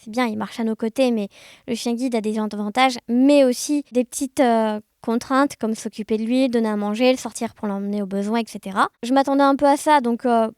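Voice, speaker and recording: Young woman, high voice, dry close sound, casual animated storytelling. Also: brisk, clear, resonant tone, no echo. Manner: animated, expressive, uses sound words. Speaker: podcast guest.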